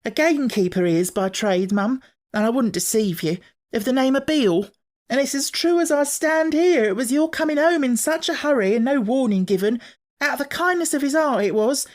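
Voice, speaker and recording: A man's voice: very high voice, animated, fairly quick, pitched above the performer's own voice. A man voicing a flustered, tearful young working-class housemaid who rambles in ungrammatical servant speech for an audiobook. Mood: tearful, protesting. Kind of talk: audiobook character acting.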